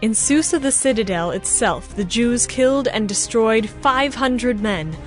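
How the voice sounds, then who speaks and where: High-pitched woman narrating scripture over music. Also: expressive, unhurried. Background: faint background music.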